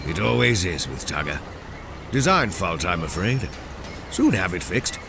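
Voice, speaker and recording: A man's voice: deep voice, big pitch swings, measured pace, gravelly, music in the background, heavily acted. A man in an audio play acting as a genial master engineer at a shipyard, courteous and admiring. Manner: courteous, appreciative.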